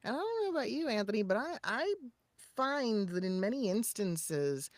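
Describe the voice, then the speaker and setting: A woman co-hosting a podcast, giving casual, conversational advice in an animated, emphatic way with short punchy asides. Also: on the high side, moderate pace, no room sound. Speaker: podcast co-host and voice actor.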